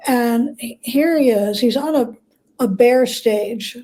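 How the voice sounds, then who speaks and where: A woman's voice: a little high, slow pace, warm tone, low-quality recording. A woman speaking as an interview guest, telling anecdotes conversationally and often rephrasing herself as she explains. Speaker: guest, biographer.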